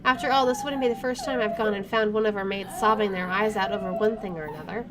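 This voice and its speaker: A woman's voice: medium pitch, fast talker. A woman game streamer reading visual novel narration aloud, with her own asides. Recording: clearly noisy home recording.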